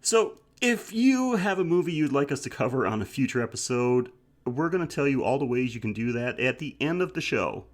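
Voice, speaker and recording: A man's voice: medium pitch, sing-song, brisk. A man hosting a podcast in a casual, chatty style, joking and self-deprecating, speaking through a head cold. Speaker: podcast host.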